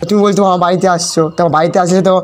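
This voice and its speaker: Young man, slightly high rapid voice, teasing and insistent, noisy amateur audio. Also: little pitch movement. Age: young adult.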